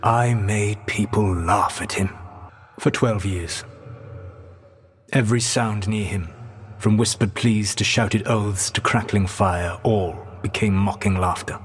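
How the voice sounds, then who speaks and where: An actor playing a grave, regretful ancient elven god in a video game, speaking in a measured, formal, solemn way. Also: male voice, on the low side, steady tone, husky. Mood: grim, haunted. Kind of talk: dramatic video game character dialogue. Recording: long echoing reverb on the voice.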